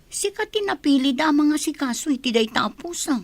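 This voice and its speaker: A woman playing a chatty, wide-eyed housemaid in a radio comedy drama, with an expressive, admiring manner. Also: sits high, a little fast, no room sound.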